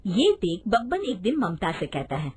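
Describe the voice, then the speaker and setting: A woman's voice: medium pitch, huge pitch swings, moderate pace, slightly rough. A woman storyteller giving scripted narration of a children's bedtime tale.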